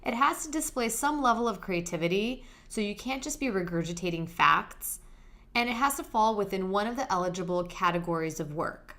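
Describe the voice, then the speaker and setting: A woman's voice: mid-range voice, animated, brisk, pushed and bright. Soothing-voiced woman podcast host. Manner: friendly, direct, explains step by step. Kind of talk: podcast explainer monologue.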